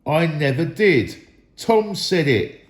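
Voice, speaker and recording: A man's voice: a little high, big pitch swings, slow, voice pushed up. A man voicing a coarse, bossy storybook troll with a snappish, argumentative manner, in an echoey room. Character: bossy, bickering, coarse male troll named Bert.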